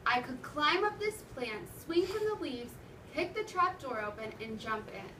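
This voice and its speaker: An eager young toy bear from a storybook, read by a woman, exclaiming excitedly in a high voice. The recording is distant, with the echo of the room around it. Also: measured pace, heavily acted. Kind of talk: storybook read-aloud for children. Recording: roomy, noisy classroom from far off.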